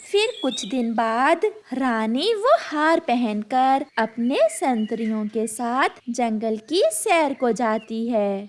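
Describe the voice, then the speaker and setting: A woman's voice: a little high, animated, slow pace, few pauses. A woman narrating a scripted children's moral story, with faint noise in the recording.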